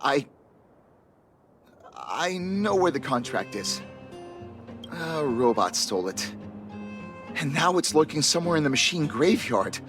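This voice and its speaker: A man's voice: a little high, very animated, a little slow, long pauses, over music. A man voicing a timid, hapless, fretful traveling trader in a video game. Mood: nervous, dejected.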